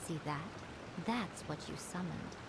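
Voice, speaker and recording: A sly, composed shrine priestess. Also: female voice, low voice, expressive, creaky, exaggerated voice. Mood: calm commanding. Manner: mocking, unhurried, commanding. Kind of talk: video game character acting. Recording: game stream with loud background noise.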